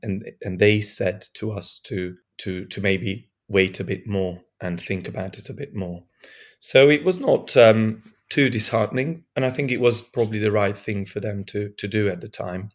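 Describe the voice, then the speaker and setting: A man from Europe, a guest on a podcast, speaking in a hesitant way with many restarts and fillers, his voice still hoarse from being ill. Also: fairly low pitch, moderate pace. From Eastern Europe. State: hoarse, recovering from lost voice. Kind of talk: casual conversation.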